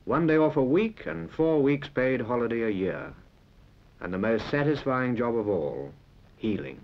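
A man's voice: mid-range voice, expressive, a little slow, gravelly, a little hiss or hum. A British man narrating a 1940s recruitment film, talking directly and conversationally to the listener. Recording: dull 1940s film soundtrack.

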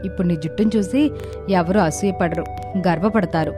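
A woman's voice: on the low side, moderate pace, natural voice. A woman playing earnest, caring young village sisters in a moral story cartoon, with a dramatic delivery. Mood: reflective. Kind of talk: animated moral story dialogue. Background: background music.

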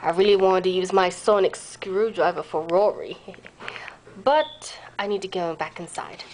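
A woman's voice: fairly low pitch, moderate pace, a little mellow, slight background noise, voice pushed down, close to an everyday voice, rough recording. A woman voicing a smitten, eccentric young man who mutters and rambles in an amateur puppet show. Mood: lovestruck.

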